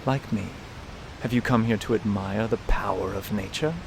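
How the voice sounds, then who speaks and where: An actor playing an eloquent, defiant Victorian detective in a radio drama, formal, theatrical and pompous. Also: male voice, lowish voice, moderate pace, gravelly, noisy background. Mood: cool, smug. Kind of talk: melodramatic radio drama acting.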